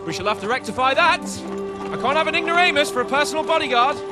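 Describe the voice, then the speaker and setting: A man's voice: very high-pitched, expressive, fast talker, loud music behind the voice. An actor playing a flippant, haughty young nobleman who craves adventure and swears freely, in a video game cutscene. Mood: haughty, amused. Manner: haughty, playful, theatrical exclamations.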